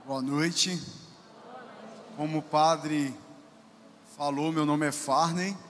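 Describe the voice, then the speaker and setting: A man's voice: fairly high pitch, fairly even intonation, slow pace, a bit bright, a little hiss or hum. A Brazilian man preaching to a congregation as a lay missionary, exhorting his listeners. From Congonhas, Brazil. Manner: exhortative, addressing and leading the congregation. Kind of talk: sermon to a congregation. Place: church, amplified in a large hall. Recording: reverberant church sound with music behind.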